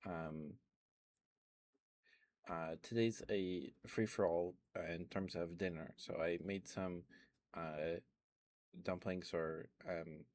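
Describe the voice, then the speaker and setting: A man's voice: deep voice, fairly even intonation, takes it slowly, long pauses, a little mellow. A man streaming ASMR, rambling casually to live viewers with frequent ums and little laughs. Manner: meandering, chatty, lots of ums and little laughs.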